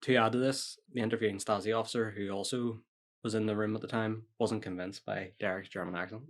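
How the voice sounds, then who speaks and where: A man's voice: lowish voice, fairly even intonation, fast. A man hosting a podcast, reading out a prepared story in a casual way with offhand asides. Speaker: podcast host telling true-or-false stories.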